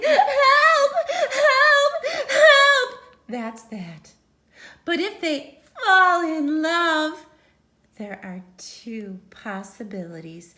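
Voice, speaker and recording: High, animated woman reading a children's story. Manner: dramatic, emphatic, with pauses and sudden swells. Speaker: teacher reading a picture book aloud.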